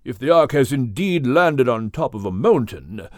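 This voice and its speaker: A woman voicing a kindly, dignified male whale with a British accent and formal, measured manners in an audiobook. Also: extremely low pitch, animated, a little mellow, no echo, clean studio sound. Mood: regretful. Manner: formal, measured, hesitant courtesy.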